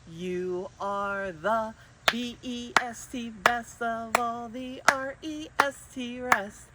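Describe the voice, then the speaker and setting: An older woman hosting storytime for young children, playful and expressive as she addresses them directly. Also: fairly high pitch, takes it slowly, very noisy.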